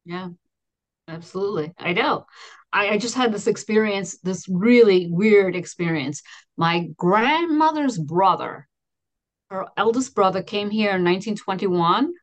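Woman in her fifties from Queens, chatty and animated on a video call, dry sound. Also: mid-range voice, unhurried, no room sound. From Astoria, Queens, New York. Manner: animated storytelling, many restarts and "you know". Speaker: guest. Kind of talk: casual conversation. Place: home office with framed certificates.

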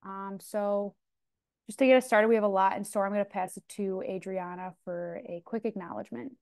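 Smooth-voiced young woman hosting a video call. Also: mid-range voice, steady tone, a little fast, a little mellow, no room sound, studio quality. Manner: upbeat, many ums, welcoming. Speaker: outreach coordinator and meeting host. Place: dim room indoors. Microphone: earbuds on video call.

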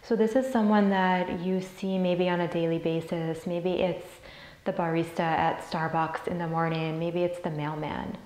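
A woman leading a guided meditation as a teacher, soothing and deliberate as she repeats phrases. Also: fairly low pitch, steady tone, a little mellow. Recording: roomy, echoey sound.

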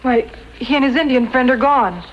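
An actress playing an anxious, devoted young preacher's daughter in an earnest 1950s radio western style. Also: female voice, high-pitched, moderate pace, lightly acted. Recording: muffled, hissy old radio recording.

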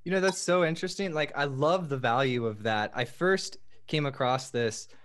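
A man's voice: medium pitch, moderate pace, fairly bright tone. A young man hosting a podcast from a room at home on a studio microphone, asking questions in a friendly, encouraging way. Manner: friendly, affirming interviewer. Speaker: podcast host. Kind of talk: casual interview. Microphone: studio microphone with headphones.

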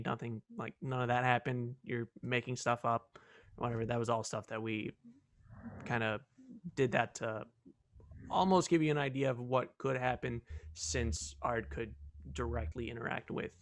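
A man's voice: mid-range voice, fairly bright tone, no room sound, studio quality. A young man casually explaining writing decisions as one of a show's writers on a video call. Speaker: show writer. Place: remote video call indoors.

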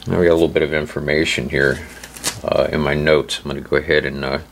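A man with a hoarse, laryngitis-affected voice giving an informal instructional narration, halting and pausing often as he explains. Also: extremely low pitch, flat delivery, a little hiss or hum. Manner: halting, hesitant delivery with frequent pauses. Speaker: host of a survival channel.

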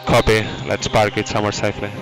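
A male race engineer talks over team radio in a fairly low voice. He is quick but calm, giving short reassuring instructions. Music plays behind the radio link. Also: poor audio. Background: music, car noise.

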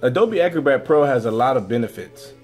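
A man's voice: medium pitch, lively intonation, a little fast, a little mellow, soft background music. A middle-aged man, a teacher, walking fellow staff through a computer program in a classroom, talking upbeat and folksy as he narrates each click. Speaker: teacher leading a staff tech demo. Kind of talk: casual classroom screen demo.

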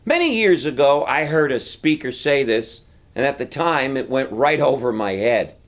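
A middle-aged man giving an emphatic, deliberate motivational talk as a coach and host, with pauses for weight. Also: mid-range voice, very animated. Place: indoors, room lined with bookshelves.

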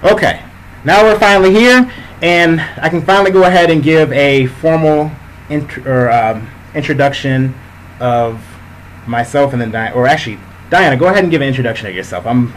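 A man hosting a casual interview who rambles excitedly with many fillers. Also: medium pitch, a little hiss or hum, poor audio. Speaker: interview host.

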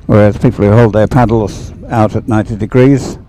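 A man giving chatty live sports commentary in a halting, unhurried way, as if thinking aloud. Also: on the low side, fairly even intonation, warm tone, low-quality recording.

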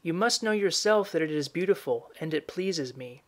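Man voicing a high-pitched knowing old woman. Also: lively intonation, fairly bright tone. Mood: bargaining. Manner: measured, instructing, storybook delivery.

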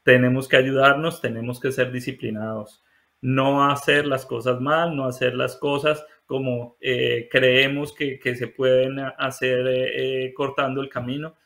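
A man in his forties from Colombia, a mechanical engineer interviewed over a video call from his living room, speaking with many pauses and fillers. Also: mid-range voice, flat delivery, gravelly, fairly bright tone. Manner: hesitant, many fillers and restarts. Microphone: laptop webcam video call.

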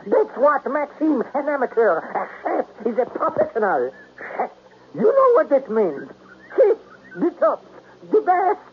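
An actor playing a proud, jealous, indignant household chef in a 1970s radio drama, sounding huffy and aggrieved. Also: male voice, very high voice, huge pitch swings, a little slow, exaggerated voice. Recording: tinny, noisy 1970s radio broadcast.

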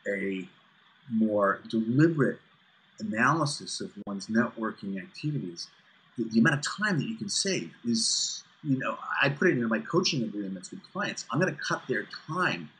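A man in his fifties explaining things emphatically and deliberately as an interview guest on a video call. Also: medium pitch, fairly bright tone, breathy edge, rough recording. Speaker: guest, author and executive coach. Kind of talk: interview answers on business networking. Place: small furnished room indoors, on a video call. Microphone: video call, computer at desk distance.

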